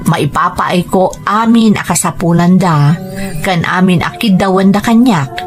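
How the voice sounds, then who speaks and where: A woman's voice: fairly low pitch, measured pace, music in the background, natural voice. A woman playing a dutiful younger sister who works abroad as a domestic helper, speaking with a Filipino Ilocano accent in an audio drama with first-person narration. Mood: reflective, grateful.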